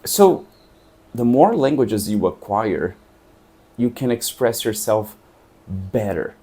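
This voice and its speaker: A man from Brazil speaks English with a Portuguese accent in a thoughtful, unhurried vlog. His voice sits fairly low and sounds dark and mellow. He stops now and then to look for the right word. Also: animated, breathy edge. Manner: thoughtful, searching for words. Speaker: English teacher and traveler. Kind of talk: reflective vlog talk.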